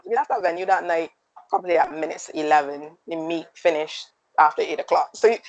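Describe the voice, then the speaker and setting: Woman guest on a track chat, lowish voice, laughs a lot, lively storyteller. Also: poor audio. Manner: animated storyteller, laughs often. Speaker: panel guest. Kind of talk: casual sports panel chat.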